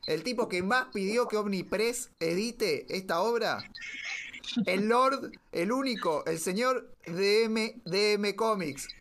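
Argentine male podcast host, high register, casual chat, slight noise behind. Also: takes it slowly, fairly bright tone.

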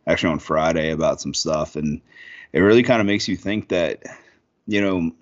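Thirties man, low voice, loose profane podcast co-host, muffled lo-fi audio. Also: steady tone, normal speed. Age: 30s. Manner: rambling, profane, lots of fillers. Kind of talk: casual podcast chat.

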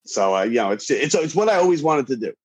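Male, forties, New York accent, emphatic sports-podcaster chat, mid-pitched, repeats phrases as he goes. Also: sing-song, quick pace, darkish tone, slightly rough. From New York, USA. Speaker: sports podcast host, interview guest. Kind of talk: casual interview chat.

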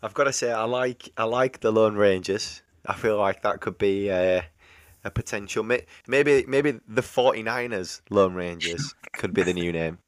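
A man's voice: lowish voice, moderate pace, no room sound, studio quality. A man co-hosting a casual football podcast over a remote call, hesitant and repeating words as he thinks. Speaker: podcast co-host. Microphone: remote call recording.

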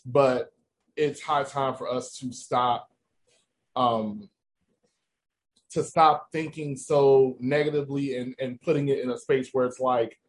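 A man hosting a casual discussion, giving emphatic and animated opinions. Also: mid-range voice, takes it slowly, pauses often. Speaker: host.

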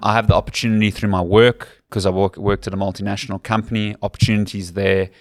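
Man, thirties, Cape Town accent, low voice, dry recording, earnest conversational storytelling, interview guest. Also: level tone, quick pace, no echo. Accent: South African, Cape Town. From South Africa. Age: 30s. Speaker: rugby commentator, podcast guest.

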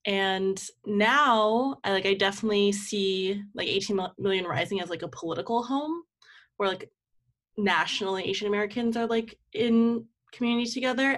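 Young woman guest, normal pitch, thoughtful casual chat, says like a lot. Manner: informal, reflective, peppers speech with "like". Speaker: guest, community organizer and campaign manager. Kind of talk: casual conversational interview.

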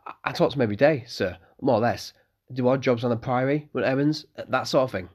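A man's voice: mid-range voice, fast talker, voice pushed up, close to an everyday voice. A man voicing an eager, polite eleven-year-old innkeeper's boy who keeps calling the guests sir.

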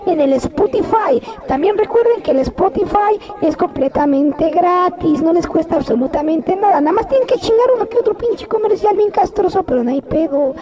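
A Mexican woman with a very high, piercing voice runs through a podcast plug, cracking crude slangy jokes as she goes. A crowd chatters clearly behind her. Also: poor audio. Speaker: podcast host. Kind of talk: casual podcast promo.

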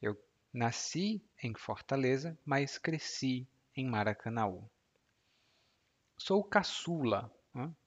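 A man, in his thirties, explains clearly and slowly like a patient teacher and laughs easily. His voice sits at a medium pitch. The recording sounds somewhat dull and muffled. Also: expressive. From Ceará, Brazil. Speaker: Portuguese teacher. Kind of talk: podcast language lesson.

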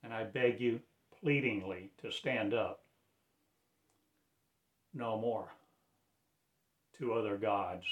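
Slow, solemn man preaching. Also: fairly low pitch. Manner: slow, solemn and declamatory, with sudden loud swells and hushed drops. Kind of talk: rambling prophetic monologue.

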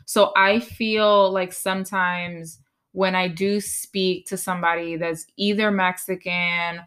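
A chatty Puerto Rican woman co-hosting a podcast, her voice sitting a little low for a woman. She talks loosely, slipping Spanish words into her English and breaking into laughter. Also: little pitch movement, slow pace, a bit bright, clear, resonant tone. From Puerto Rico. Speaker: podcast co-host. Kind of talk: casual bilingual chat.

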